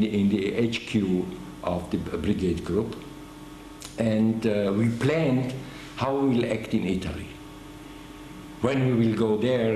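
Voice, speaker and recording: An older man giving an oral history interview, speaking haltingly with many restarts and fillers. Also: lowish voice, mellow, reverberant, a little hiss or hum, poor audio. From Germany. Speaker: veteran interviewee.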